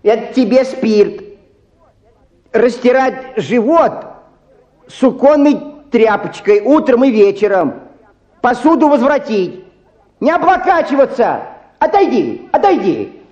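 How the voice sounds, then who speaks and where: An actor playing an officious, fussy village pharmacist with affected manners in a radio play. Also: male voice, extremely high pitch, big pitch swings, slow, pauses often, darkish tone, muffled recording, full character voice. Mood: curt, officious. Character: officious, fussy male village pharmacist, smarmily gallant to women.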